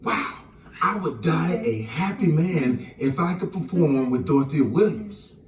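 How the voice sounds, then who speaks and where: An actor playing an excitable, anxious middle-aged jazz musician in an exclamatory, pleading way for a storybook recording. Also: male voice, a little high, measured pace, a little hiss or hum. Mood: awed, sheepish. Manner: exclamatory, pleading, repeats himself. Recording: roomy, distant, music behind.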